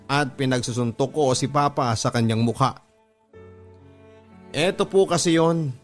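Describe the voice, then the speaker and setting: A Filipino man tells a personal story in the style of a radio drama letter reading. His voice sits at a medium pitch, and his delivery is polite and earnest. Music plays clearly under his narration. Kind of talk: scripted first-person confessional storytelling. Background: background music.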